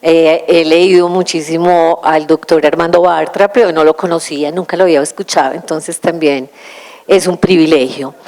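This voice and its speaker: A woman's voice: low voice, steady tone, a little mellow. Woman giving a lecture. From Colombia. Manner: measured, explanatory, with frequent hesitations. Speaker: invited lecturer. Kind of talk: conference lecture.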